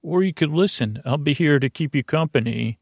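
A man hosting a sleep podcast, rambling in a halting, meandering way that circles back and trails off, with a creaky voice. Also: fairly high pitch, animated, darkish tone, dry recording. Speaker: podcast host.

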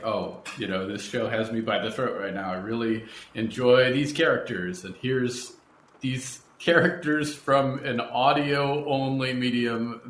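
A man's voice: lowish voice, a bit bright. A man hosting an interview in a conversational style, articulate and reflective, with wordy phrasing. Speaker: podcast host and fiction creator. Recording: roomy echo, clear background noise.

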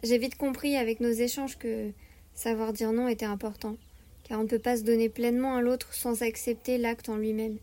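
A woman giving a calm, reflective personal testimony. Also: on the high side, normal speed. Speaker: interviewee.

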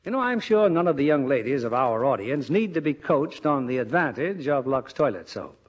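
A man's voice: medium pitch, big pitch swings, moderate pace, husky. A man hosting in scripted, theatrical 1950s radio style, polished and formal. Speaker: radio theatre host and producer. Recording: dull old radio broadcast recording.

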